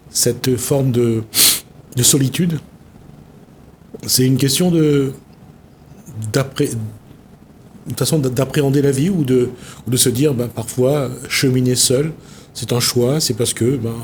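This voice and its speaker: A man's voice: mid-range voice, a little mellow. Man, talk show host, reflective, rambling phrasing, faint background noise. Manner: meandering, searching for words. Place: TV studio.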